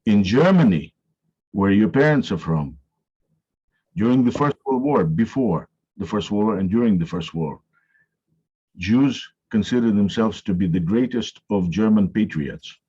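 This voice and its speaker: A man hosting an interview, speaking in a measured, lecturing way with frequent hesitations. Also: on the low side, expressive, mellow, slightly rough. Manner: measured, lecturing with many uh fillers. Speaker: interviewer host. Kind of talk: interview conversation. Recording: dull, lo-fi sound.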